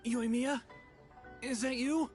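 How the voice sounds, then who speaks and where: A man's voice: very high-pitched, steady tone, slow pace. A man voicing a nervous, apologetic fugitive in a video game, polite and hesitant, with music behind him. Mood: anxious. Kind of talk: English video game character acting.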